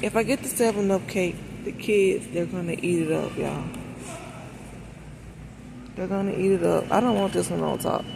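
Gravelly-voiced woman chatting while shopping. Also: on the low side, moderate pace, pauses often. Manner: folksy, informal, thinking aloud. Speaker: vlogger narrating a shopping trip. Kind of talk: casual chat to camera. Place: inside a large supermarket, walking. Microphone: handheld phone behind the camera. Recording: noisy store background.

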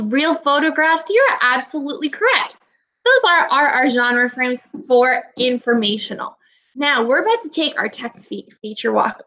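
A woman's voice: sits high, pushed and bright, low-quality recording. A woman in her twenties, an elementary teacher giving an encouraging, instructional read-aloud lesson to young children over a webcam. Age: 20s. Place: small indoor room at home. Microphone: webcam or laptop microphone, video call recording.

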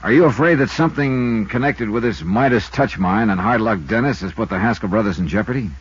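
A wry, impatient freelance investigator from a 1950s radio detective serial. The voice is lowish and rough-edged, with clipped, businesslike phrasing. The sound is dull and muffled like a vintage broadcast. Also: male voice, brisk. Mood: brisk, probing.

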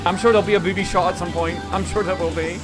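A man in his thirties giving a casual, excitable video reaction as a streamer, often repeating his phrases. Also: high voice, racing. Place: small indoor streaming room. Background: video game trailer music.

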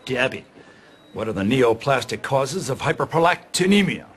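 Sarcastic, bullying senior doctor, wildly swinging pitch. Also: male voice, animated, normal speed, breathy edge. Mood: mocking. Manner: theatrical, biting sarcasm with mock sweetness. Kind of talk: sitcom acting, mocking monologues. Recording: faint background noise.

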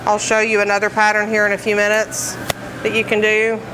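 A woman's voice: medium pitch, completely flat delivery, brisk, background noise. A woman bakery trainer explaining decorating steps in plain, practical terms, with frequent fillers. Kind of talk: instructional demonstration. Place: commercial kitchen, indoors.

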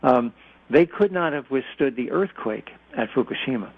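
A man's voice: medium pitch, animated, normal speed, dry recording. A man in his sixties, an expert guest on a radio interview, explaining things plainly with everyday comparisons over a phone line. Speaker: expert guest, former nuclear industry executive.

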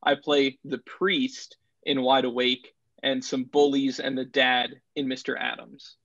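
A man's voice: mid-range voice, flat delivery, a little slow, vocal fry, a bit bright, dry recording. A man in his twenties, a student, introducing himself over a lo-fi video call. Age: 20s. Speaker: business student. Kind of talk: self-introduction. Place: indoor room, video call.

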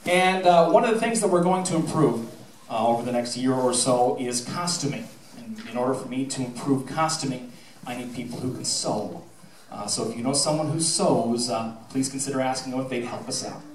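Man, middle-aged, theatre director, animated storyteller, echoey hall, distant recording. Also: mid-range voice, a little fast, slight background noise. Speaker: high school theatre director. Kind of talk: informal speech to an audience, with anecdotes and thank-yous. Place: theatre stage, indoors. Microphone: handheld microphone through PA, filmed from the audience. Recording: roomy, distant audience-camera recording.

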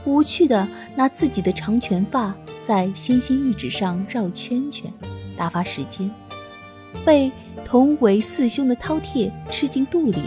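Woman narrator, slightly high voice, soft measured storytelling, clear background music. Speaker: audiobook narrator. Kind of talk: scripted narration.

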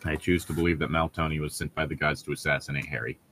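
A man's voice: very deep voice, fairly even intonation, fast talker, a bit bright. A man co-hosting a podcast in casual banter, with a sardonic, sweary way of talking. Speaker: podcast co-host.

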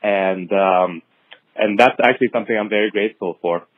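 A man's voice: on the low side, no room sound. Thoughtful man on a tinny line. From Syria. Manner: measured, thoughtful, many ums and pauses. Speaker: hematology-oncology fellow, podcast guest. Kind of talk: interview answers. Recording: tinny phone-like audio.

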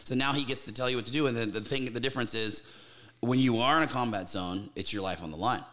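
A man's voice: mid-range voice, fast, fairly bright tone. A man in his thirties, a podcast guest speaking in casual, candid conversation, swearing freely and restarting his sentences. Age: 30s. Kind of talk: casual interview chat.

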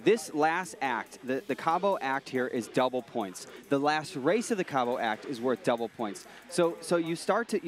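Male sailing analyst thinking aloud. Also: fairly high pitch. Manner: thinking aloud, repeats words as he restarts. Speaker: expert analyst. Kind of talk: live sports analysis.

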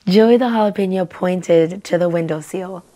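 A young adult woman hosting a children's read-aloud, narrating in an animated, clearly enunciated way. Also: fairly low pitch, normal speed, a little hiss or hum. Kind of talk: expressive storybook narration for children. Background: soft music.

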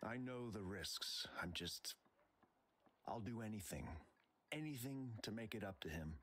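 An actor playing a polite, guilt-ridden former soldier in a video game, halting and subdued. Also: male voice, lowish voice, animated, fairly bright tone, a little hiss or hum. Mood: sorrowful, guilty. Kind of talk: English video game voice acting.

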